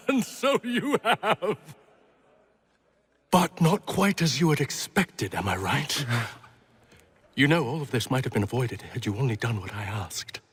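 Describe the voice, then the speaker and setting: An actor playing a pompous, scornful villain of high rank with eloquent, theatrical speech in a video game cutscene. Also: male voice, very animated, a little slow, a bit bright, slightly rough, long reverb tail, heavily acted. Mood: smug.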